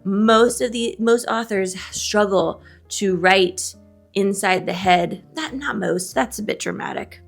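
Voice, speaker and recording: A woman's voice: medium pitch, slow, vocal fry, soft background music. A woman co-hosting a casual book chat, candid and playfully dramatic in informal slang. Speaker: co-host of a book discussion video. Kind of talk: casual chat, trading confessed book opinions.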